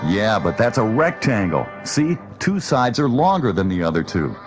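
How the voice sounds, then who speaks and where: A gruff space captain, short on patience and quick with sarcasm. His delivery jumps up and down in pitch, with music clearly behind him in an audio storybook. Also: sing-song. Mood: impatient. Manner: brusque, sarcastic. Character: gruff, impatient male space pilot captain. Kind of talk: children's read-along audio story acting.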